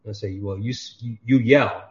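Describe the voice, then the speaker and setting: A man's voice: on the low side, normal speed. A man on a podcast, chatting casually and acting out the dialogue in his stories. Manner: animated storyteller, acts out dialogue. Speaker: podcast guest. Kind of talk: casual chat. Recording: dull lo-fi audio.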